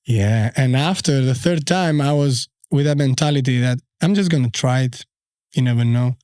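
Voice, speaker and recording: A man in his thirties from Albania with an Albanian accent, a podcast guest telling his story in a halting, easygoing way with many fillers. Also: mid-range voice, darkish tone, smooth, no echo, studio quality. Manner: unhurried, halting, frequent fillers. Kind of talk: casual storytelling interview.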